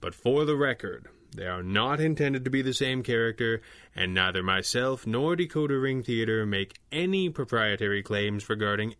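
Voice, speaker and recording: A man giving a scripted disclaimer as the show's writer, in a dry, deadpan way. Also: lowish voice, no echo. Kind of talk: scripted narration, tongue-in-cheek disclaimer.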